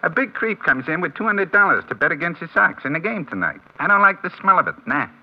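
Lively, high-pitched radio bookie. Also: male voice, fast. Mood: amused, incredulous. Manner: clipped slangy talk, amused, colloquial. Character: chummy, slangy, streetwise male bookmaker. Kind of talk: 1950s radio drama acting. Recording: muffled vintage radio recording.

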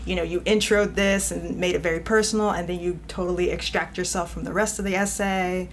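A woman guest, an editor, talking casually in an interview, animated and often laughing, with many "you know" fillers. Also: on the low side, brisk, a little hiss or hum, poor audio. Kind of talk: conversational interview.

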